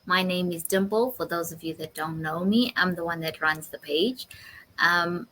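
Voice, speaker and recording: A woman's voice: fairly low pitch, normal speed, a bit bright. Friendly woman hosting a video-call interview. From Zimbabwe. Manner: friendly, frequent ums, affirming "yes". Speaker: host and interviewer. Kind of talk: online interview.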